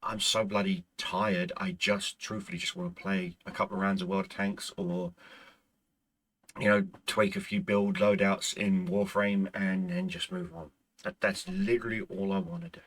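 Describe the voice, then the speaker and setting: Gaming host, male, native Irish speaker, husky low voice, rambling and halting, voice wearing out. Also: a bit bright. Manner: rambling, with frequent stumbles and restarts. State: losing his voice. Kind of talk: casual chat to viewers.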